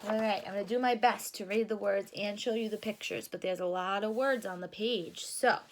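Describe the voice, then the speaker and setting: A woman reading a children's storybook aloud and explaining it simply, in an expressive, careful teacherly way. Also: mid-range voice, brisk.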